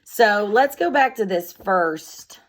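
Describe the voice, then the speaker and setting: A woman's voice: medium pitch, animated, slow, slight background noise. A woman with a mid-range voice reads aloud in the manner of a schoolteacher. She speaks directly to young children, explaining each idea in simple, instructive language.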